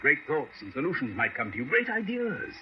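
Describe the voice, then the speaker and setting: Persuasive scientist doctor, theatrical, swoopy highish voice, faint hiss old radio play. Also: male voice, sing-song, fairly quick. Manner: emphatic, coaxing, theatrical. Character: eager, persuasive male doctor of experimental science. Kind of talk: radio drama acting. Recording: dull, slightly noisy vintage radio recording.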